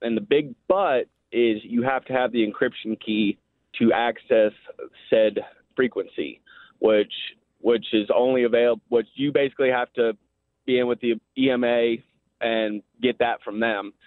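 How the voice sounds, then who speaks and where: Midwestern guy caller on a thin phone line, folksy talk, medium pitch. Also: steady tone, a little slow, dry recording. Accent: Midwestern American. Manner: colloquial, folksy grammar. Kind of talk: informal, explaining and arguing a point. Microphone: telephone.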